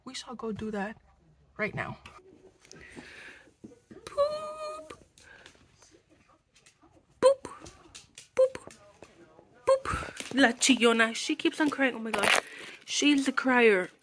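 A sick young woman in her twenties, hoarse and congested, chatting casually to a close camera at home, cursing and coughing now and then. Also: high-pitched, big pitch swings, very slow, pauses often, noisy background. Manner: chatty, swearing, coughs between lines. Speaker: vlogger. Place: living room at home. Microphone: camera close to face.

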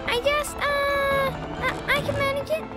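Little boy squirrel for a cartoon: childlike, eager, extremely high, drawn-out lines. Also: monotone, over loud music. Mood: panicked. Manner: playful, childlike. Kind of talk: dubbed children's cartoon acting.

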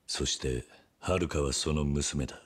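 A man's voice: very deep voice, slow, slightly rough, full character voice. An actor playing a stoic, resolute yakuza man in a Japanese video game cutscene, speaking tersely. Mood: calm, grave. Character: stoic, resolute middle-aged yakuza man, tough and composed. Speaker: video game lead character.

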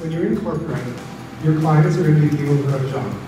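A man's voice: on the high side, fast talker, over loud music. Man talking to a hall, echoey and far. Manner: relaxed storytelling with fillers. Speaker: founder speaking to students. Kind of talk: motivational talk to an audience. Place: large hotel conference room.

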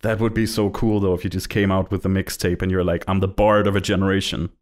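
A man's voice: deep voice, little pitch movement, fast talker. A young Danish man co-hosting a podcast, chatty and jokey, with rambling asides. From Denmark. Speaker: podcast co-host. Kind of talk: casual chat.

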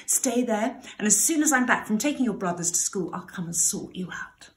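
A woman's voice: mid-range voice, animated, moderate pace, a bit bright, lightly acted. Caring British mummy pig, as a woman reads her. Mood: soothing concern. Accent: British English. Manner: motherly, emphatic. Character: caring mother pig, brisk and soothing.

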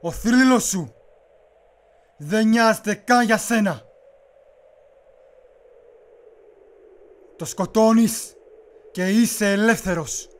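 A high-pitched warlord speaks with measured, imperious menace, acted by a man. He is persuasive and commanding, in the manner of an amateur video game dub. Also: close to an everyday voice. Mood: cold menace.

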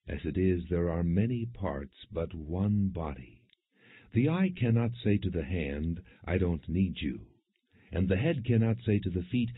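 A man reading scripture as an audiobook narrator, in a measured, solemn, husky voice. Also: low voice, dry recording. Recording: dry, narrow phone-like sound.

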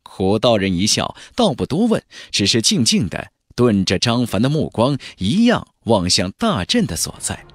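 A man narrating a fantasy audiobook in a theatrical, expressive storytelling manner. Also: lowish voice, moderate pace, few pauses, no echo, clean studio sound. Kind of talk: dramatic scripted narration of a fantasy novel. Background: background music.

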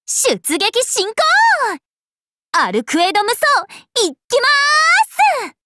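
An actress playing a cheerful, playful young vampire princess in an anime game, speaking in a bubbly, teasing, animated way. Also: female voice, very high-pitched, slow, noisy background, full character voice. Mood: excited, bubbly. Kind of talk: anime game character voice lines.

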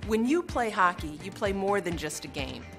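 A woman reads a scripted public service announcement in an upbeat, encouraging tone. Her voice sits at a middle pitch, and loud music plays underneath it. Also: big pitch swings, normal speed. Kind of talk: scripted ad narration.

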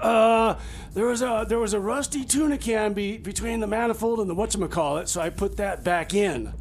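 High, creaky, stammering fussy tinkerer. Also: male voice, fairly quick, slight background noise. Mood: nervous, sheepish. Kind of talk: comedy radio theater.

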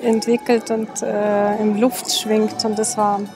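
A woman's voice: mid-range voice, flat delivery, a little slow. A woman in her thirties from Minsk in Belarus, a musician speaking hesitantly in a casual interview, searching for words. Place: outdoors in the evening. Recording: music behind the voice.

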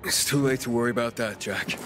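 A man's voice: medium pitch, a little fast, natural voice. Man acting determined game hero, music behind. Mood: grim resolve. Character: determined young male survivor hero. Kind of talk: video game dramatic acting.

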